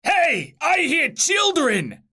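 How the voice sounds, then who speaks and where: A bloodthirsty patrol demon from a comic storybook, acted out by a narrator. The voice is pitched well up, yelling brutish threats in stretched-out words. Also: very animated, gravelly, full character voice. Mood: gleefully menacing. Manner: yelling, drawn-out delivery.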